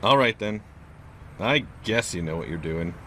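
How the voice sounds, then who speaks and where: An actor playing a gruff, wary older landlord of a haunted house, curt and folksy, in an audio drama. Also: male voice, fairly low pitch, expressive, measured pace. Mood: stern, businesslike. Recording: clear background noise.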